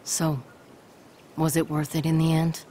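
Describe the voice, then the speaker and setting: An actress playing a stern but compassionate woman in a video game, with formal, measured phrasing. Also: low voice, monotone, mellow, slight background noise. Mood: grave, compassionate. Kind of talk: video game cinematic dialogue.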